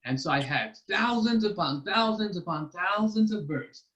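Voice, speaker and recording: A man acts out a clever boy telling a tall tale in a storybook. His voice is high-pitched. He stretches and stresses his words to build suspense, sounding sure of himself. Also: animated, fairly bright tone, dull audio. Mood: confident, teasing. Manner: drawn-out, emphatic, suspenseful delivery.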